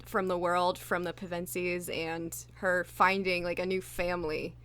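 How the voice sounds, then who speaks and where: A woman's voice: fairly low pitch, level tone, unhurried, fairly bright tone, clear, resonant tone. A woman, a voice actress, chatting casually and enthusiastically in an interview.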